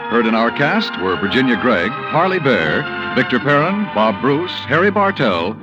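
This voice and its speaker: A man's voice: mid-range voice, sing-song, moderate pace. A man announcing in a polished, formal 1950s radio style. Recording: dull old radio broadcast with music.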